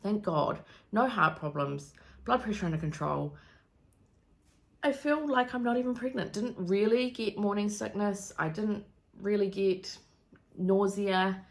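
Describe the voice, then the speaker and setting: A woman's voice: mid-range voice, a little slow. A woman in her thirties from New Zealand with a New Zealand accent, talking casually to camera in a rambling vlog full of ums and likes. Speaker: vlogger.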